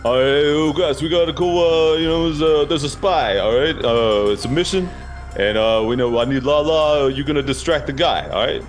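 Man doing a mumbling, gruff action-movie star. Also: a little high, faint music behind, pitched above the performer's own voice, lightly acted. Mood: blunt, urgent. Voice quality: mumbling, hard to understand. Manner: halting, blunt, repeats "all right". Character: slurring, gruff action-movie star leading a spy mission.

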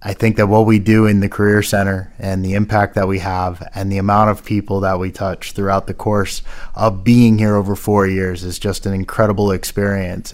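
A man with a fairly low voice, speaking as an interview guest. He talks energetically and stacks up rhetorical questions to make a point. His delivery is emphatic and upbeat. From Midwestern United States. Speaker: career centre manager, guest. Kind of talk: casual interview answers.

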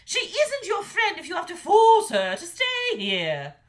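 A woman's voice: extremely high pitch, very animated, slow pace, pushed and bright, voice pushed up, exaggerated voice. A woman voicing a shrieking, scornful mother dragon. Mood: scolding. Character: huge angry female dragon mother, scolding.

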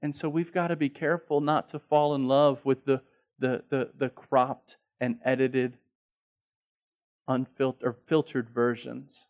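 A man's voice: mid-range voice, little pitch movement, unhurried, muffled recording. Man preaching a conversational sermon. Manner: conversational storytelling with frequent restarts, building to emphatic peaks. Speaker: pastor.

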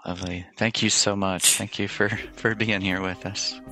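A man hosting a podcast, asking questions in a relaxed way, hedging with fillers. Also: fairly low pitch, normal speed, dry recording, faint music behind. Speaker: podcast host. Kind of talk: casual podcast interview.

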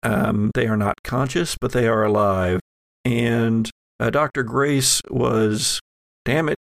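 A man improvising tabletop game narration as game master, halting as he searches for his words. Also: medium pitch, vocal fry, no room sound, studio quality.

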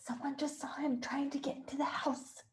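A woman's voice: sits high, flat delivery, brisk, close to an everyday voice. A woman playing a bossy, nagging wife in a radio play, scolding and insistent in a melodramatic way. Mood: urgent, pushy. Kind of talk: classic radio play acting.

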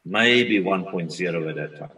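A man whose first language is Afrikaans, a software consultant talking as a guest on a remote interview in a relaxed, rambling way. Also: low voice, moderate pace, recorded from a distance. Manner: relaxed, rambling with frequent restarts. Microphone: video call.

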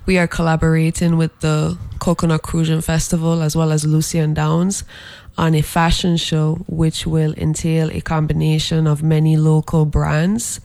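A man from Saint Croix, a fashion designer being interviewed, who talks in a halting way with many filler pauses. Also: a little high, completely flat delivery, creaky, darkish tone, no echo. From Saint Croix, US Virgin Islands. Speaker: guest, fashion brand designer. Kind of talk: interview promoting an event.

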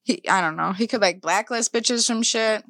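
A woman's voice: fairly high pitch, normal speed, fairly bright tone, no room sound, studio quality. A woman in her thirties, chatting casually and profanely, full of "like" fillers. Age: 30s. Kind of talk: casual chat.

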